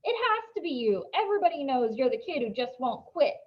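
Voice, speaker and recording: A woman's voice: high-pitched, very animated, brisk, highs cut off, a little hiss or hum, pitched above the performer's own voice. A woman voicing a grumpy, show-off schoolboy in a storybook, scornful and overdramatic. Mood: indignant.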